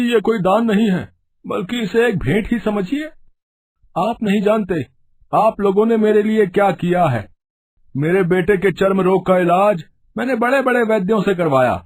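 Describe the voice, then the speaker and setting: A woman voicing an earnest, anxious village man in an animated storybook tale. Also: mid-range voice, moderate pace, husky, lightly acted. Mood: grateful, earnest. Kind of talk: animated storybook character acting.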